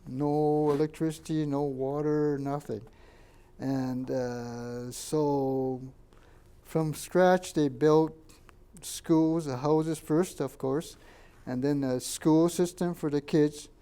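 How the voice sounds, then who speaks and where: An older man, a Japanese first-language speaker from Canada, recalls his childhood in a halting oral history interview and points out photos. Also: on the high side, fairly even intonation, mellow, clear, resonant tone. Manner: halting, many fillers and restarts, pointing out photos.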